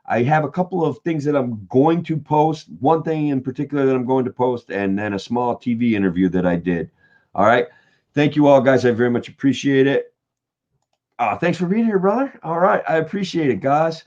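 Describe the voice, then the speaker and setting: A man running for office, talking casually to viewers on a late-night livestream, rambling and calling them guys, his voice getting hoarse. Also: mid-range voice, animated, moderate pace, a little mellow, highs cut off. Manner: rambling, keeps saying guys and okay. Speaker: political candidate livestreaming.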